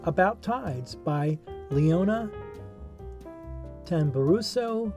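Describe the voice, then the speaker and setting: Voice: medium pitch, lively intonation, very slow. A podcast host reading a scripted introduction. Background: plucked guitar music.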